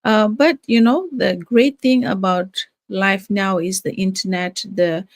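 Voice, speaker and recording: A woman's voice: mid-range voice, measured pace, no echo. An older woman from Fiji, a grandmother, hosting a casual live stream with many fillers and restarts. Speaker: live-stream host, nurse and business owner.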